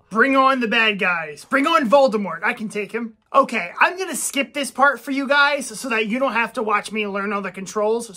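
A man's voice: extremely high pitch, bright, forceful tone, a little hiss or hum. A man playing a boastful, touchy young gamer who talks cocky and gets defensive when teased. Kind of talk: comedy gameplay sketch.

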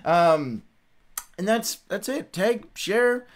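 A man's voice: high voice, animated, very slow. A middle-aged man hosting a livestream in casual, rambling, informal chat. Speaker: host of a Bible read-along livestream.

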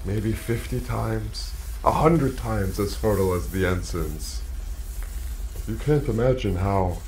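Slow, solemn, low alien hive-mind. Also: male voice, darkish tone, slight background noise, poor audio. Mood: reverent. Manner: slow, measured, grave, formal delivery. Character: calm alien hive-mind speaking through a man's body, devoted, solemn. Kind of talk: audio drama acting.

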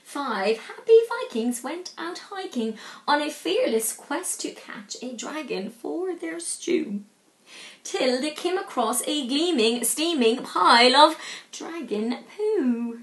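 A young woman reading a children's picture book aloud in an expressive, animated storytelling manner. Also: high-pitched. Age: young adult. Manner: animated, drawn-out emphasis. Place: small living room at home, indoors. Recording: roomy home phone recording.

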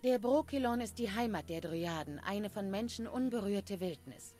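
A woman voicing a proud dryad in a video game, speaking in measured, solemn, formal phrases. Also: medium pitch, expressive, dark tone, faint music behind, natural voice. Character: grave female dryad, proud guardian of the forest. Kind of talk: scripted game dialogue.

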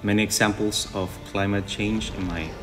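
A man's voice: fairly low pitch, steady tone, normal speed, music in the background. A young man from Panama, a goat farmer giving brief, listing answers in an interview. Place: wood-panelled indoor room.

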